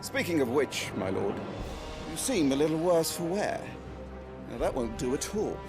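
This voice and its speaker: Wry, higher-pitched fantasy swordmaster over music. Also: male voice, very animated, normal speed. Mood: wry, instructive. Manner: theatrical, instructive, teasing. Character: seasoned male swordmaster, wry, formal, testing his young lord. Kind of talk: fantasy video game acting.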